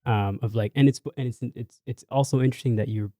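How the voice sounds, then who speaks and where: A man's voice: mid-range voice, quick pace, no room sound. Laid-back male podcast host, dry mic. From Canada. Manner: relaxed, filler-heavy, encouraging. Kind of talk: casual podcast chat.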